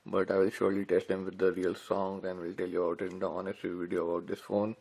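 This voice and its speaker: A man's voice: sits low, monotone, fast talker, vocal fry, darkish tone. A man giving casual, informal unboxing commentary as a tech reviewer, talking directly to viewers. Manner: informal, addresses viewers as guys.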